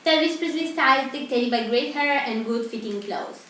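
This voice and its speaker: A woman's voice: on the high side, fairly quick, fairly bright tone. A young woman, sounding like a student presenter, delivers a scripted presentation as a mock TV broadcast host. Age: teenager or young adult. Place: echoey indoor room. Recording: very echoey room, distant mic, faint noise.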